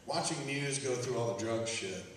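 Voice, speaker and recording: A man's voice: medium pitch, animated, moderate pace, a bit bright. Man in an echoing hall, live crowd. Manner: profane, slangy storytelling with acted-out dialogue. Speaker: podcast host. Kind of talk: comic live conversation, anecdotes. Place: large campus hall, live before an audience. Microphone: handheld audience camera, far from speaker. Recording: distant echoey hall recording with audience noise. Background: audience noise and laughter.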